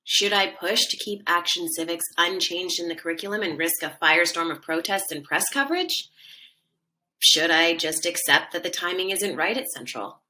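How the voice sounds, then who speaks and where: Earnest female school leader, conflicted, crisp bright edge, slightly low pitch, audio drama. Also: normal speed, low-quality recording. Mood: torn, questioning. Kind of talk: dramatized podcast acting.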